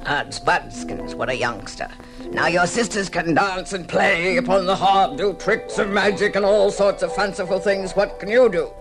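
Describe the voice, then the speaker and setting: Courtly old-radio goblin king, crackly gravel in the voice, loud music behind. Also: high voice, normal speed. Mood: grandly curious. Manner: grandiose, archaic, exclamatory. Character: courtly goblin king, delighted suitor in a fairy tale. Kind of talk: old-time radio fairy tale acting.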